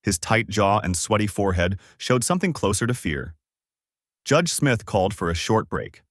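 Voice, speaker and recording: Guy with a lowish voice narrating a dramatic story, solemn n suspenseful, super dry sound no room. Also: expressive, normal speed, no echo.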